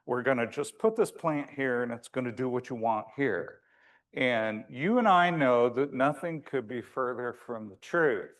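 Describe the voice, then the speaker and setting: A man with a big voice and a medium pitch presents to an audience in a conversational lecture style. His delivery is informal and a little wry, with frequent hesitations as he explains things. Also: unhurried. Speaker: landscape architect giving a presentation.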